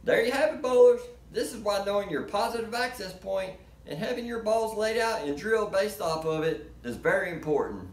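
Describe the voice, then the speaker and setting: A middle-aged man hosts a how-to video. His voice sits a little high for a man and swings widely in pitch. He delivers his scripted explanations in an upbeat, direct presenter style. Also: expressive, fairly quick, few pauses. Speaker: host of a bowling instruction video. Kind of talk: scripted instructional narration.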